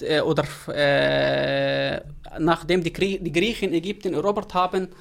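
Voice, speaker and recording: A man whose first language is Arabic, answering interview questions in a halting way with frequent pauses and restarts. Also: a little high, gravelly. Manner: halting, many fillers and restarts. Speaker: interview guest.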